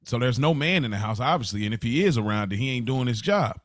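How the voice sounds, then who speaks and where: A man's voice: mid-range voice, expressive, fast, dry recording, studio quality. A middle-aged American man speaking casually and earnestly as a podcast co-host, repeating phrases for emphasis. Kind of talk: casual, heartfelt conversation.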